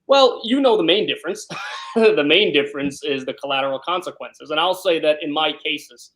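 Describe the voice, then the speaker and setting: A man from Brooklyn, talking at length as an interview guest and explaining things conversationally, often checking with a quick "right?". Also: fairly high pitch, animated, fairly bright tone. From born in Ukraine, grew up in South Brooklyn, New York. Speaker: criminal defense lawyer, interview guest.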